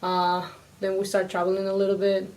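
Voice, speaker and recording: A woman's voice: mid-range voice, completely flat delivery, a little fast, darkish tone, low-quality recording. A woman from Ecuador in her thirties, with an Ecuadorian Spanish accent, a restaurant owner chatting casually as a guest.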